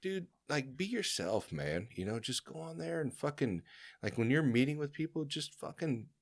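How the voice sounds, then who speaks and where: A man's voice: on the high side, sing-song, normal speed, gravelly, no echo, studio quality. A man with an American accent hosting a podcast, talking bluntly and casually with plenty of swearing. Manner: blunt, profane, laughs loudly. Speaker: podcast host. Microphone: studio podcast mic.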